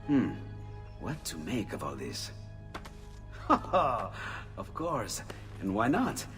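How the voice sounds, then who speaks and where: A man voicing an excitable, warm Renaissance inventor with an Italian accent in a video game, exclaiming and musing theatrically. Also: fairly low pitch, takes it slowly, big-room sound, soft background music, exaggerated voice. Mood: excited, musing. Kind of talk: video game cutscene acting.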